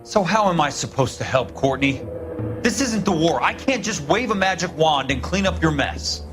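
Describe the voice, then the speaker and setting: Male actor as disapproving ex-soldier, game drama, blunt, stern, animated intonation, clear music backing. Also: a little high, moderate pace, close to an everyday voice. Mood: exasperated. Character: principled, tough, disapproving male war veteran. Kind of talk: video game acting.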